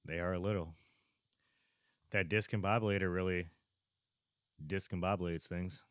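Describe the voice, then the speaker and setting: American man, deep-ish voice, dry studio-close sound, casual conversational podcast banter. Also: steady tone, normal speed, smooth, no echo. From United States. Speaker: podcast co-host.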